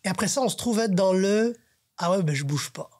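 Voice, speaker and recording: Earnest man, husky voice. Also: high-pitched, lively intonation, a little fast, no room sound. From Haitian family, raised in Canada. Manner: earnest, thinking aloud with restarts. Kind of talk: casual panel discussion.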